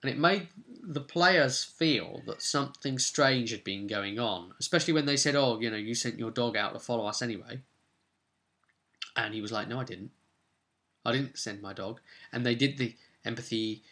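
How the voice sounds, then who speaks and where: A man's voice: fairly low pitch, pushed and bright. A British man giving a chatty, rambling recap as a game master, telling the story casually with wry asides and loosely acted-out quotes. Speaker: game master recapping a tabletop role-playing session.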